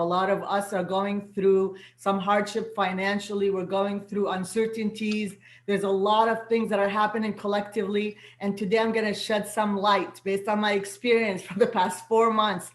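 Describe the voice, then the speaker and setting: A woman whose first language is Arabic, hosting an online spiritual gathering as a soul guide and coach, with a gentle, affirming manner and words repeated for emphasis. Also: medium pitch, roomy sound. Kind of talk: inspirational welcome to an online gathering. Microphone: video call.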